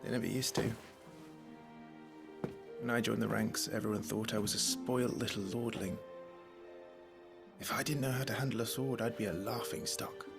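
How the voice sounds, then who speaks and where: A man's voice: fairly low pitch, steady tone, moderate pace, vocal fry, pauses often, music in the background. An actor playing a modest young nobleman knight in a video game drama, understated and self-deprecating.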